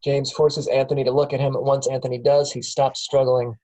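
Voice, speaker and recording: A man's voice: mid-range voice, flat delivery, brisk, dark tone. A man narrating stage directions matter-of-factly at a film script table read.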